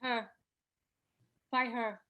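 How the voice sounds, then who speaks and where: A woman's voice: a little high, fairly even intonation, very slow, highs cut off. A woman acting an edgy sixteen-year-old mean girl who leads her crew, scornful and commanding, in a scripted read. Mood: bossy, pressuring.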